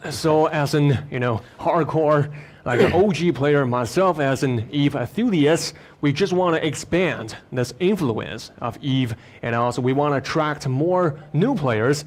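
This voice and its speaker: A man presents a live stream to fans from a studio. His voice sits a little higher than most men's. He talks in an upbeat, chatty way and pads his sentences with filler phrases. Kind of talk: upbeat presenting to an online audience.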